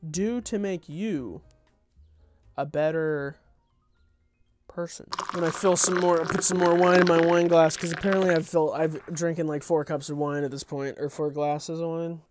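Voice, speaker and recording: A man's voice: on the high side, fairly even intonation, normal speed, music in the background. A young adult man doing an informal table read of his own comedy script, dropping in wry, off-the-cuff asides. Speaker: content creator reading own script.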